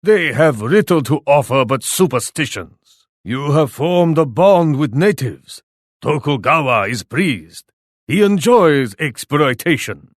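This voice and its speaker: An actor playing an arrogant, ruthless warlord in a video game, speaking in a stern, imperious and formal manner. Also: male voice, medium pitch, no room sound, clean studio sound. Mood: scornful, threatening, then coolly approving. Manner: imperious, stern, formal, refers to himself in third person. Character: arrogant, ruthless, scheming warlord who scorns his allies. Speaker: computer player in a strategy game. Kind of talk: scripted video game voice lines.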